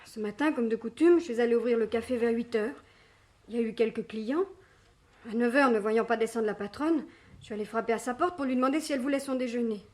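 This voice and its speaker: An actress as an anxious high-voiced young waitress. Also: female voice, quick pace, darkish tone, close to an everyday voice. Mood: shaken, subdued. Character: anxious young café waitress defending her accused fiancé. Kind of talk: French radio mystery play acting.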